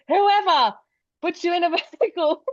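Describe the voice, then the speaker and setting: Halting woman host, dry dull sound. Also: very high voice, dry recording. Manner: halting, filler-heavy, giggly. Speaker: podcast host. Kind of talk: casual interview chat.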